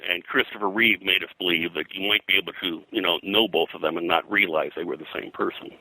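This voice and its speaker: An older man reminiscing as an interview guest in a casual, halting, digressive way, often clearing his throat. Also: deep voice, steady tone, sharp tone, dry recording, low-quality recording. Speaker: interview guest, comics colorist and pop culture historian.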